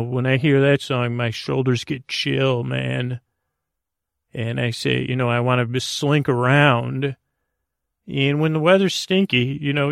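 A man hosting a bedtime podcast in a meandering, halting, goofy monologue meant to lull listeners to sleep, with a gravelly voice. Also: medium pitch, no room sound, clean studio sound. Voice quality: gravelly, creaky. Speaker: podcast host.

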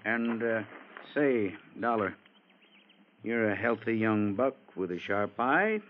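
A man's voice: lowish voice, lively intonation, slow pace. A male actor playing a folksy, stubborn American small-town police chief in a mid-century radio drama, insistent and gossipy. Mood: sly, folksy. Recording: tinny, narrow-band old radio recording.

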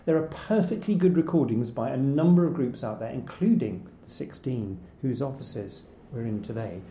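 A man's voice: mid-range voice, lively intonation, moderate pace, warm tone, slight background noise. A man co-hosting a podcast, chatting casually and enthusiastically about choral music. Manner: enthusiastic, jokey. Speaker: podcast co-host. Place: office room. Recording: echoey room.

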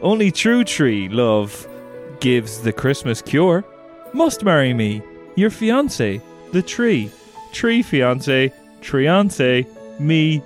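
A man's voice: medium pitch, big pitch swings, extremely slow, soft background music. A man voicing a solemn, romantic tree seller and suitor in a stilted, mock-earnest way. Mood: pleading declaration.

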